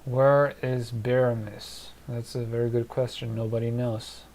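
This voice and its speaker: A man's voice: mid-range voice, level tone, measured pace, darkish tone. A man in his thirties from Germany with a German and Polish accent, chatting casually on a live stream from his desk and thinking aloud with many fillers. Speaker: streamer answering chat. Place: small home studio at a desk.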